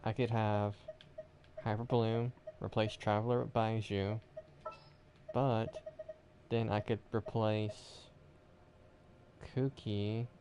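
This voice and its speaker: A man's voice: lowish voice, level tone, slow pace, long pauses, darkish tone, a little hiss or hum. A man doing casual gaming stream commentary, rambling and thinking aloud. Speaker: game streamer.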